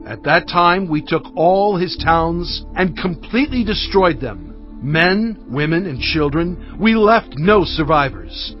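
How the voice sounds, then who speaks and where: A man as Moses, earnest and measured. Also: a little high, lively intonation, dull audio, faint music behind. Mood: solemn. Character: Moses, an aged leader recounting conquests. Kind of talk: dramatised scripture reading.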